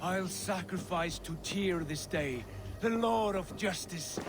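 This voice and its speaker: A man playing a proud, mystical Viking lord in a video game cutscene, speaking in a portentous, deliberate way. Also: sits high, background noise. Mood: fervent.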